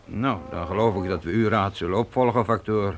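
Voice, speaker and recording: An actor playing an earnest, hesitant leader of weary pioneer settlers in a radio drama, polite and measured. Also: male voice, lowish voice, slight background noise, lightly acted. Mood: doubtful, yielding.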